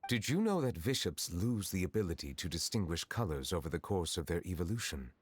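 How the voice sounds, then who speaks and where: An actor playing a dignified, aloof man in a fantasy game, speaking formally and gravely, as if giving a lecture. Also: low-pitched, big pitch swings, brisk, dry recording, exaggerated voice. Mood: formal, composed. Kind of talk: scripted game character dialogue.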